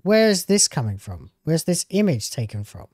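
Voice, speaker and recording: A man's voice: on the high side, very animated, moderate pace, a little mellow, no room sound, clean studio sound. A man in his thirties streaming from home on a headset mic, reacting to video clips in casual, argumentative commentary. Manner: argumentative, talks back at the clips.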